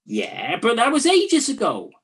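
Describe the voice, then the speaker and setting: Bored sentry in a spoof radio play acted: husky, very sing-song delivery, blunt matey mockery. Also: medium pitch, huge pitch swings, unhurried, fairly bright tone, full character voice. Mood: musing. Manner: dry, mocking, matey banter. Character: bored, teasing, blunt Trojan soldier on night watch. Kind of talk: comic radio play dialogue.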